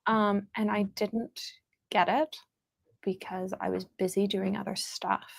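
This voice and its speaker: A woman hosting a casual livestream chat over a video call, relaxed and rambling. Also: mid-range voice, unhurried, creaky, no echo, studio quality. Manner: relaxed and rambling, with frequent fillers.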